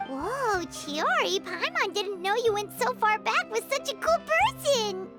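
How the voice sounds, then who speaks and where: Tiny childlike game fairy, extremely high-pitched, swooping intonation, curious, excitable. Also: female voice, a little slow, loud music behind the voice, heavily acted. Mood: amazed. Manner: exclaiming, refers to self in third person. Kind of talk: anime video game voice acting.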